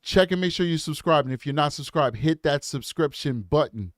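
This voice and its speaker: A man hosting a true crime livestream, reading super chats aloud and thanking viewers in an upbeat, repetitive way with lots of ums. His voice is hoarse and worn out. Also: on the high side, expressive, brisk, no room sound, clean studio sound. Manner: upbeat, repeats himself, frequent ums.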